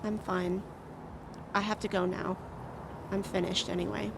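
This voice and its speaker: A sullen, defeated daughter speaks with heavy creak at a medium pitch. Her lines are terse and resigned, as radio drama, with faint traffic noise behind. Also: flat delivery, a little slow. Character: sullen, defeated youngest daughter of troubled family. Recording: faint outdoor roadside noise.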